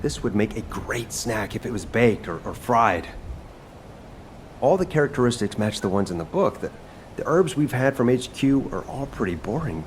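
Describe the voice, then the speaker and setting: A man voicing an eager young video game fighter with a cook's passion. His delivery swings freely in pitch, casual and playful. Clear noise and game ambience sit behind the voice. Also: expressive, moderate pace, close to an everyday voice. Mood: enthralled. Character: eager, easygoing young male fighter who loves cooking.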